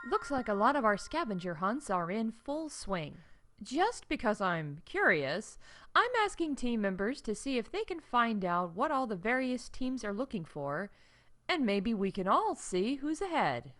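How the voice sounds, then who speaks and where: A woman's voice: fairly high pitch, big pitch swings, a little slow, fairly bright tone. A woman playing a chatty, wry theme park staff announcer in a scripted comedy podcast, emphatic and full of conversational asides. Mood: sly.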